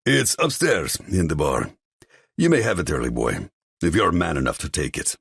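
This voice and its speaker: A man's voice: low voice, huge pitch swings, normal speed, creaky, a bit bright, slightly rough, dry recording, clean studio sound, voice pushed up, heavily acted. A man putting on a smug, taunting foreign rogue. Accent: slight foreign accent. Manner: taunting, smirking. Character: smug tall foreign man with a mocking laugh.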